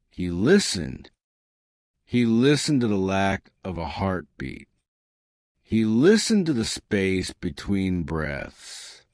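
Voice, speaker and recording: A man narrating a scripted dramatic story in a solemn, deliberate manner. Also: on the low side, no echo, studio quality.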